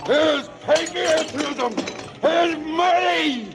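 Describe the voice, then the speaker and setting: Slow brooding bitter cop, vengeful radio drama monologue, music playing under him. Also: male voice, very high voice, over a noisy crowd, full character voice. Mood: frustrated, rising. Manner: deliberate, brooding, theatrical storytelling. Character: embittered, maimed middle-aged police chief, coldly vengeful.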